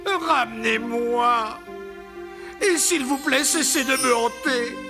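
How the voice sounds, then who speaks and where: An actor playing an excitable old miser in a cartoon, with a creaky voice and a theatrical, exclamatory delivery. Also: male voice, sits high. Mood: frightened, pleading. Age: older. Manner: theatrical, exclamatory, stammering when troubled. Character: excitable old miser, nostalgic then frightened and pleading. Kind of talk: French cartoon voice acting.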